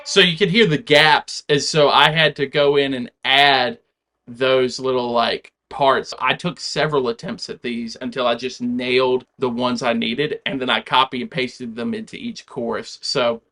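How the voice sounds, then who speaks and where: Relaxed man chatting through a tutorial. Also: medium pitch, normal speed. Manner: relaxed, chatty, loose explanations with frequent "like". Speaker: home musician explaining a song recreation. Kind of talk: casual tutorial walkthrough.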